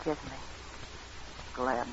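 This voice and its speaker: A woman's voice: drawn-out words, background noise. An actress playing a wary female accomplice in a vintage radio thriller, on a muffled old recording. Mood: wry, yielding. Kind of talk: 1940s-50s radio thriller acting.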